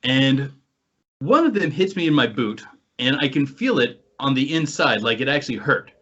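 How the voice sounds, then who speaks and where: An American man hosting a podcast, telling anecdotes in a casual, animated storytelling way. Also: mid-range voice, normal speed, slightly rough. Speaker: podcast host and paranormal investigator. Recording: dull, lo-fi online call audio.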